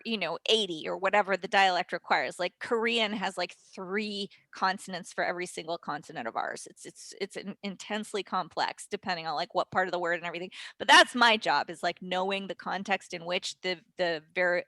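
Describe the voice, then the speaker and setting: A woman, a voice and dialect coach, explaining her method as a guest on a webinar, in animated, rapid talk with quick asides. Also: fairly low pitch, no room sound. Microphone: webinar call mic.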